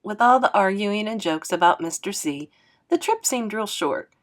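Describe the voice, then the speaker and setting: A woman reading a children's novel aloud as a teacher, in an expressive narrating style. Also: medium pitch, normal speed.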